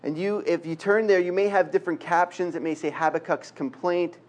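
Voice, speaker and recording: Earnest Canadian-accented preacher, fairly high voice. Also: male voice, steady tone, fairly quick, darkish tone. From Quebec, Canada. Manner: conversational, self-deprecating humor, earnest. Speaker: guest preacher. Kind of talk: sermon with jokes and prayer.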